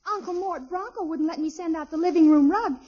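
Very high-voiced, pleading young woman. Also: brisk. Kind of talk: 1950s radio sitcom acting. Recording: muffled old 1950s radio recording.

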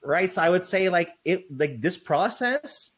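A young man guest in a casual interview, talking loosely with lots of "like" and "right?", with a loud voice. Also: sits high, animated, a little slow, a little hiss or hum. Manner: loose, rambling, heavy on "like" and "right?".

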